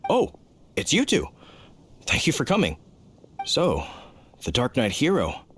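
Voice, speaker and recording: An actor playing an earnest, courteous young knight on guard duty in a video game. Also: male voice, mid-range voice, huge pitch swings, unhurried, a bit bright, slight background noise. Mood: friendly. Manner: polite, earnest.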